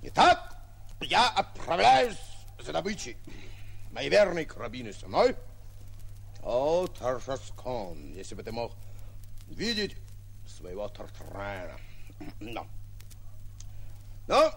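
Pompous, wildly swooping would-be lion hunter. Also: male voice, medium pitch, huge pitch swings, slow pace, creaky, long pauses, fairly bright tone, a little hiss or hum, exaggerated voice. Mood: grandiose. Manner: bombastic, exclamatory, muttering to himself. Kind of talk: 1940s theatrical radio comedy acting. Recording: old 1940s radio recording.

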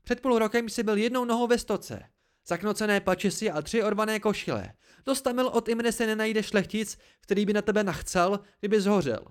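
A man voicing a proud, angry, sharp-tongued young woman in an audiobook story, with biting, indignant outbursts. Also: medium pitch, lively intonation, few pauses, close to an everyday voice. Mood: cold fury.

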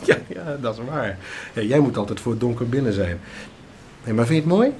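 A man's voice: mid-range voice, animated, brisk, darkish tone, a little hiss or hum, natural voice. A man playing a patient father who explains things to his young son in a radio drama. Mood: mildly puzzled.

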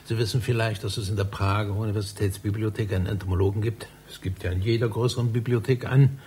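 An actor playing an elderly, obsessive librarian and spider researcher in a satirical radio play, lecturing pedantically and reproachfully in a raspy voice. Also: male voice, on the low side, fairly even intonation, fast, a little mellow, a little hiss or hum, lightly acted. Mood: pedantic, proud. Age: older. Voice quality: husky, raspy.

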